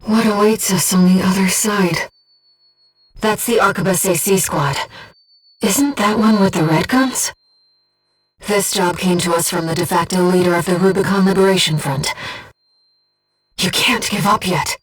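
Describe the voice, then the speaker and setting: A gentle, earnest female spirit guiding a pilot in a video game. Her soft voice sits at a medium pitch and moves slowly, with composed, measured phrasing. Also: breathy edge, distant mic, faint music behind. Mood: composed briefing. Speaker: mission support operator. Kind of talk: scripted video game voice acting.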